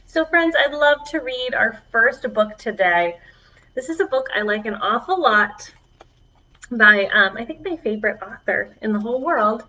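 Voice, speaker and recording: A woman's voice: on the high side, sharp tone, dull audio. A woman children's librarian reading aloud to preschoolers, with encouraging asides to the little listeners. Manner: encouraging, addressing small children.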